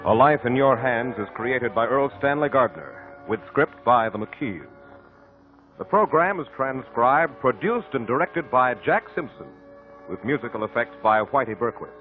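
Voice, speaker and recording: A man's voice: medium pitch, lively intonation, normal speed. An American man announcing from a script as the host of a 1950s radio show. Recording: muffled 1950 radio recording with music.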